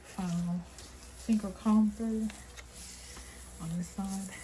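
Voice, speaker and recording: A woman playing a rude, sarcastic airport security agent in a soft-spoken ASMR roleplay, muttering dryly and without hurry. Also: medium pitch, fairly even intonation, a little hiss or hum, natural voice, rough recording. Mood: dry, methodical. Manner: dry, sarcastic, unhurried muttering.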